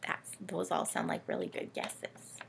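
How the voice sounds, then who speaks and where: A woman reading a story aloud to children in an animated, expressive way, inviting them in. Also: fairly low pitch, unhurried, creaky, very noisy. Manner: animated, asks the young listeners questions.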